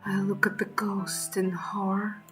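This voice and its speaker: A woman voicing a frightened, defensive young beast pup, telling a horror game story in dramatic first person with hushed, breathy endings. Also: medium pitch, flat delivery, measured pace, faint music behind, close to an everyday voice. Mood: fearful, wary.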